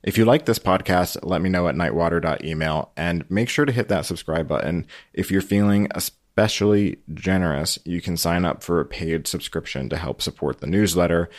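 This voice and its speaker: A man's voice: sits low, normal speed, no echo, clean studio sound. A man hosting a podcast, talking in a casual, thoughtful, conversational way. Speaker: podcast host.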